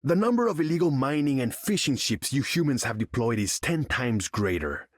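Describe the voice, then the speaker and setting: A man's voice: mid-range voice, big pitch swings, normal speed, no echo, clean studio sound. An actor playing a proud, imperious sea king in a video game, speaking in a grand, formal way. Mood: haughty, threatening. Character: proud, imperious undersea king, haughty and vengeful. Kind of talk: video game character voice lines.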